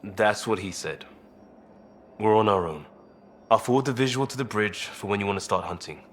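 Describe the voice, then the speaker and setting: A man playing an impulsive, earnest crewman in a video game, speaking in a halting, emphatic way. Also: lowish voice, slight background noise, natural voice. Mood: sheepish. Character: impulsive crewman, earnest and defensive about blunders.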